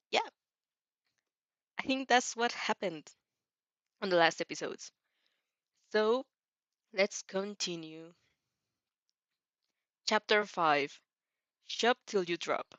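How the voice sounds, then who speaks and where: A woman who is a non-native English speaker, hosting a casual fan fiction read-aloud with an informal, halting, giggly delivery and self-corrections. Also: medium pitch, fairly bright tone, no echo, lo-fi sound. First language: not English. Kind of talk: casual reaction chat and story reading.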